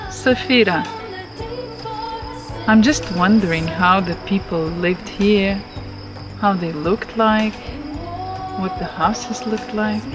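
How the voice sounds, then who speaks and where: A woman's voice: mid-range voice, slow, long pauses. A woman, talking to the camera outdoors in the countryside for a travel vlog, musing as she walks. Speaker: travel vlogger. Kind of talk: casual travel vlog commentary. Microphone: camera mic at close range. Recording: background music under the voice.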